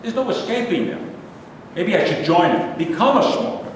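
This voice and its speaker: A man plays an aggrieved, neurotic grumbler in a staged play, his fairly high voice swinging up and down with indignation. He is heard from far off in a very echoey hall. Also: lively intonation, normal speed, slight background noise. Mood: bitter self-pity. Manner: indignant, complaining, emphatic.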